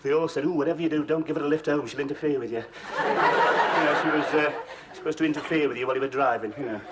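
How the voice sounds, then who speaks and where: An actor playing a miserly, seedy, self-regarding middle-aged landlord, with a fussy, stammering, wheedling manner, in a 1970s TV sitcom. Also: male voice, fairly high pitch, racing, heavy background noise, close to an everyday voice. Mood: gossipy. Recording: old TV audio with studio audience laughter.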